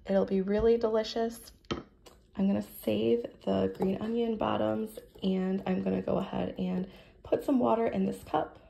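Creaky-voiced American woman, casual cook. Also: medium pitch, steady tone, a little slow, dark tone, slight background noise. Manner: chatty, informal step-by-step explaining. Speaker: home cook vlogger. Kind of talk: casual cooking narration. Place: home kitchen, indoors.

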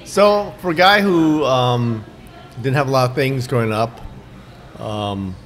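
A man's voice: medium pitch, slow pace, fairly bright tone, a little hiss or hum. An older man hosting an interview at a restaurant table, asking questions in a friendly, prompting way. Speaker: interviewer, host. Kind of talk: casual interview. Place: small restaurant, indoors. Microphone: desk mic on stand.

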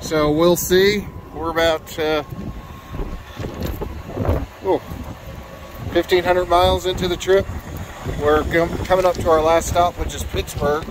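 A man's voice: on the high side, flat delivery, measured pace, pauses often, background noise. A middle-aged man vlogging casually about a road trip, easygoing and rambling with fillers, filmed on a phone at arm's length in a car and outdoors. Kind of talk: casual vlog narration. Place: inside a car and outdoors at charging stations. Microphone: phone camera at arm's length.

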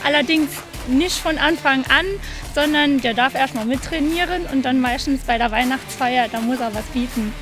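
A woman's voice: high voice, normal speed, music in the background. A woman in her early forties who plays football, speaking in an upbeat, eager way. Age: 40s. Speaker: amateur football player. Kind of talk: casual interview answers.

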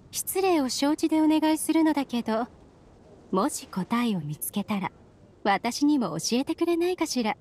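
A woman voicing a courteous, mysterious traveller in an anime game, composed and measured. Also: on the high side, darkish tone. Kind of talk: anime game character acting. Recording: game music behind the voice.

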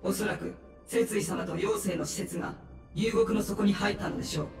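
A man's voice: high-pitched, moderate pace, a little mellow. An actor voicing a dutiful male guard captain who reports formally. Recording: echoey, distant game audio with music.

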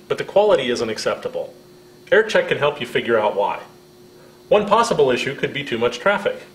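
A man's voice: medium pitch, reverberant, poor audio. A man in his fifties with an American accent gives a scripted product demonstration in a friendly, instructional way. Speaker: product presenter.

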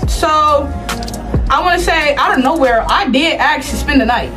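An American woman chatting casually to viewers, telling a personal story in a slangy, animated way with acted-out asides. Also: sits high, moderate pace, music in the background. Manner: slangy, animated retelling with acted-out asides and repeated check-ins.

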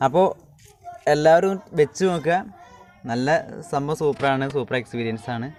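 A man's voice: mid-range voice, moderate pace, a little mellow. A man from Kerala, India, casually showing viewers how he cooks at home, rambling and repeating his phrases as he works. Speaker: home cook and vlogger. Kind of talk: casual cooking demonstration to viewers. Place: home cooking spot with wood fire. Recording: clattering pans and clicks, noisy self-shot recording.

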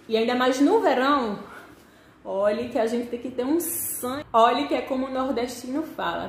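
A young woman speaks in a somewhat high voice with a Northeastern Brazilian accent. She chats casually and expressively, addressing her audience directly as a vlogger would. The sound is that of an indoor room with strong echo, picked up by a camera about an arm's length away. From Pernambuco, Northeast Brazil. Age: young adult. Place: small living room with desk, indoors. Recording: echoey room.

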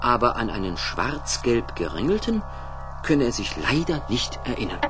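A male narrator with a mid-pitched, highly animated voice tells a children's story. His phrasing is clear and deliberate, with music audible behind him.

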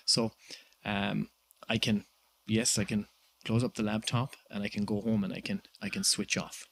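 Low gravelly Irish man. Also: flat delivery, a bit bright, slight background noise. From Ireland. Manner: measured, with many "uh" and "um" fillers. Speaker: guest entrepreneur. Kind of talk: reflective interview answers.

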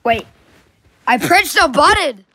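A young woman vlogging with a stuffy cold, sniffling, talking in unhurried bursts that jump into excited gasps and squeals. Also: sits high. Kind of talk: casual screen-recording vlog.

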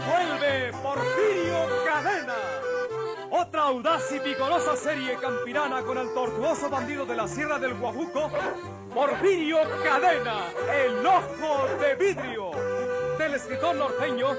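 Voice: sits high, a little slow, over loud music. An announcer giving a dramatic scripted introduction to an old radio serial.

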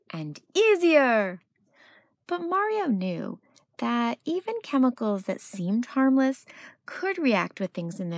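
A woman narrating a children's picture book as a read-aloud storyteller, with expressive delivery. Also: fairly high pitch, dry recording, clean studio sound.

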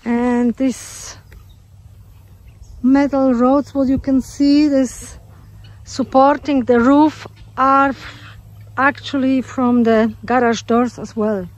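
Slow-talking woman touring her farm. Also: high voice, steady tone. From Australia. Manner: unhurried, hesitant with fillers. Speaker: homesteader giving a farm tour. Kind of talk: casual walkthrough narration. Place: outdoors in a rural garden with poultry. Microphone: phone camera held while walking.

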